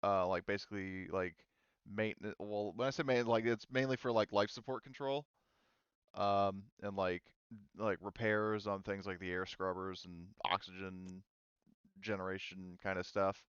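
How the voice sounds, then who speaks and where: Low, smooth-voiced man running a game. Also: fairly even intonation, a bit bright, no echo, highs cut off. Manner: halting, informal, sweary. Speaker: game master of a tabletop roleplaying game. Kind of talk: casual improvised game narration and table chat.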